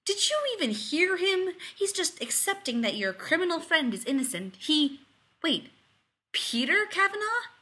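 A woman's voice: high voice, very animated, moderate pace, bright, forceful tone, voice pushed up, heavily acted. A woman voicing a blunt, sarcastic, unimpressed woman in a story reading, with exasperated delivery. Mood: skeptical, exasperated. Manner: sardonic, sighing exasperation.

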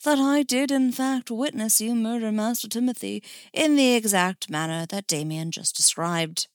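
A woman voicing a courteous male butler in a story, formal, measured and quietly amused. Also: a little high, dry recording, clean studio sound, pitched above the performer's own voice, lightly acted. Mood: wry, courteous.

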